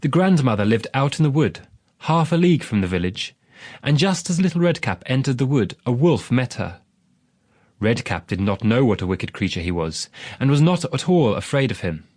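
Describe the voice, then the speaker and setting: Animated male storybook narrator. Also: medium pitch, normal speed, no echo, studio quality. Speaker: audiobook narrator.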